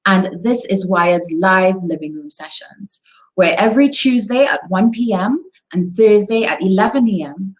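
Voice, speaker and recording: A woman hosts a live online session over a video call. She speaks in a measured way, reads her introduction and then asks long questions with plenty of "you know" and "um." Her voice sits at an average pitch. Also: rough recording. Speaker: host. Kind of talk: scripted show introduction then interview.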